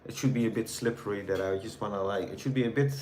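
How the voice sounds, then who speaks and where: A man from the Netherlands with a Dutch accent, chatting casually with viewers on a livestream in a relaxed, rambling way while reading their comments. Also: lowish voice, fast, reverberant, a little hiss or hum. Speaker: livestream host. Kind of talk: casual live chat answering viewers.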